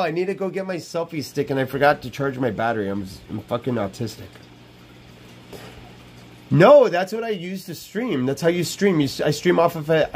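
A man's voice: a little high, a little hiss or hum. A man in his thirties live streaming from a small room over an earbud mic, talking in a brash, profane way and repeating himself often. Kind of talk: rambling live stream chat. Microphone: wired earbud headset mic.

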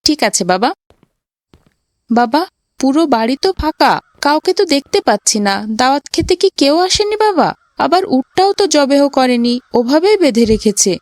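A woman's voice: high-pitched, big pitch swings, moderate pace, darkish tone, no echo. A woman voicing an eager, polite, inquisitive young boy in a moral cartoon. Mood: puzzled. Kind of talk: cartoon voice acting in moral story.

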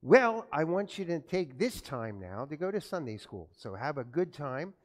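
A pastor giving a children's sermon in a church, telling an animated story and asking the children questions. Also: male voice, a little high, moderate pace. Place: church sanctuary. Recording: echoey church from far off.

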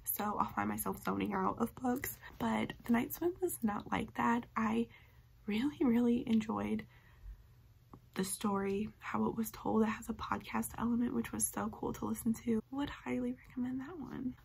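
Upbeat, slightly hoarse young woman. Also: a little high, fairly even intonation, moderate pace. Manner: upbeat, enthusiastic, rambling. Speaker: book vlogger. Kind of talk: casual book review chat. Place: bedroom, indoors. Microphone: webcam facing her at close range.

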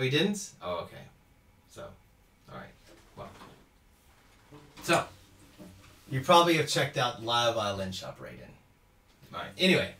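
A man's voice: mid-range voice, fairly bright tone, slight background noise. A man in his thirties from Houston, a cello teacher chatting casually on a live-stream Q&A, reading out viewer comments and laughing often. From Houston, Texas. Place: small indoor room at home. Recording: roomy echo.

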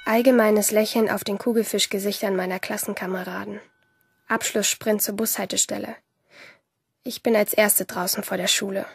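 Woman playing a creaky, subdued solitary schoolgirl. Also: medium pitch, fairly even intonation, normal speed, no echo. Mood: dry, withdrawn. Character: solitary, dry-witted schoolgirl who loves water. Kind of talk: first-person audio drama monologue.

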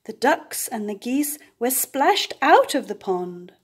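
An older woman reading a children's picture book aloud, with expressive emphasis and playful animal sounds. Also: on the high side, slow pace, breathy edge. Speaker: storyteller. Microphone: camera above the book on a table.

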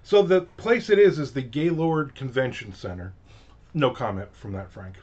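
A man's voice: medium pitch, moderate pace, a little mellow, husky. Middle-aged New Jersey man, casual chatty author livestream, home office, slightly muffled webcam sound. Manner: rambling, chatty, lots of fillers and restarts. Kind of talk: casual chat. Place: home office with shelves of collectibles.

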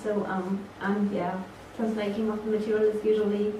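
A woman, speaking as a panelist in a matter-of-fact way with pauses. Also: medium pitch, level tone, warm tone. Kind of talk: conference panel answer. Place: conference hall. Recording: echoey hall from far off, light noise.

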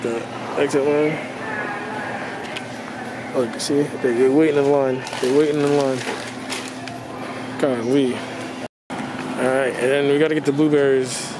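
A man's voice: mid-range voice, fairly even intonation, takes it slowly, long pauses. A young man in his twenties chatting casually and with slang as he vlogs from a car and a big warehouse store on a handheld phone. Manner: laid-back, slangy, instructive asides. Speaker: vlogger. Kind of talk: casual vlog chat. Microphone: handheld phone camera at arm's length. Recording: noisy store and car background.

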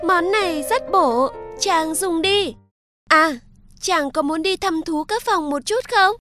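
A woman voicing a gentle, lovestruck young sea princess in a cartoon folktale, soft and pleading. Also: extremely high pitch, slow, music in the background, pitched above the performer's own voice. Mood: affectionate.

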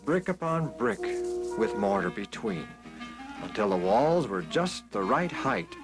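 A man storyteller reading a scripted children's Bible story in a measured, expressive way. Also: on the low side, music in the background, rough recording.

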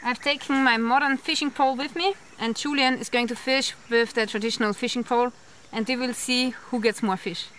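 A woman's voice: fairly high pitch, steady tone, fairly quick, hardly any pauses, a bit bright, low-quality recording. A woman in her thirties chatting casually as host of an outdoor vlog. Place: outdoors in dense jungle by a river. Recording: clear insect, bird and forest noise.

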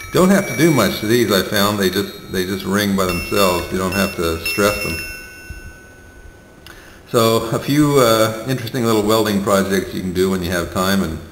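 A middle-aged man casually showing his small welding projects, talking plainly and hesitantly with many fillers and pauses. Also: low voice, background noise. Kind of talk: casual show-and-tell narration. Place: tiled room at home. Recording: roomy echo with long reverb and faint ambience.

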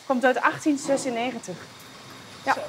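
A woman's voice: high voice, fairly even intonation, normal speed, crowd noise. A woman working as a museum guide, explaining things in a friendly way with plenty of hesitations.

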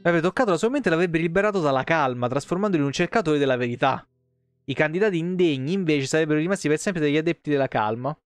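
Higher-pitched Roman man streaming game lore. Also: fast, clear, resonant tone, no echo. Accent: Roman Italian. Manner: expressive, informal, swearing in asides. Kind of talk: reading game lore aloud with casual live commentary. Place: indoor room, home streaming setup. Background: background music with guitar.

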